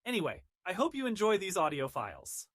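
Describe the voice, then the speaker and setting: A woman presents scripted video narration about a game. Her pitch moves in a lively way, and her phrasing is polished and articulate. The recording is dry and close. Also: fairly quick, dry recording.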